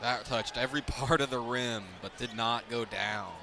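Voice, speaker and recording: A man's voice: mid-range voice, fairly even intonation, moderate pace. A man giving conversational color analysis on a live basketball broadcast, explaining loosely and repeating words as he goes. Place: courtside broadcast in a basketball arena. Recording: loud arena noise behind. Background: basketball game ambience, ball bounces.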